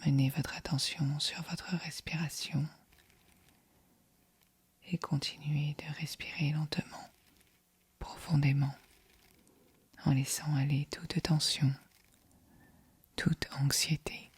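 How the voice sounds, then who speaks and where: Whispery, slow woman guiding meditation. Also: low-pitched. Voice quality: soft, breathy, whispery. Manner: calm, soothing, measured delivery. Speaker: meditation guide. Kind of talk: guided yoga nidra meditation.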